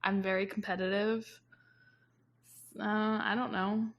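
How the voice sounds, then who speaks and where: A woman's voice: mid-range voice, level tone, unhurried, muffled recording. A woman from Brazil co-hosting a book podcast, talking casually and hesitantly with sighs. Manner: hesitant, self-deprecating with sighs and laughs. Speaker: podcast co-host. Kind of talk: casual chat.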